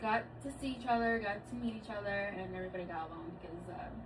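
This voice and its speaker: Relaxed thirty-year-old woman, noisy room. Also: mid-range voice, quick pace. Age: 30s. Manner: relaxed, thoughtful, speaks with many fillers. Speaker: guest, daughter. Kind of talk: casual family chat. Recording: distant mic with clear background noise.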